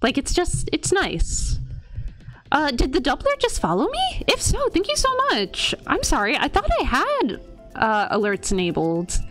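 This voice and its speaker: A woman's voice: high-pitched, wildly swooping pitch, a little slow, fairly bright tone. An American woman from Florida chatting casually on a game live stream, playful and self-deprecating. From Florida, United States. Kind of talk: casual live-stream chat while gaming. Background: video game music.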